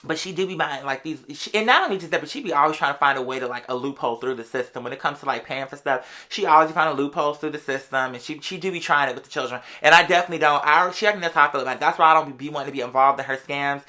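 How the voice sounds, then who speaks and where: A young adult American vlogger tells casual stories in a mid-pitched, bright voice. The delivery is quick and conversational, full of sassy slang aimed straight at the viewers.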